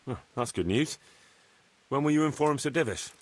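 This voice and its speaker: A man's voice: medium pitch, expressive, normal speed, natural voice. An actor playing a young medieval man in a video game, plain-spoken, dutiful and respectful to his betters. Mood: obliging. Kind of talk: video game cutscene acting.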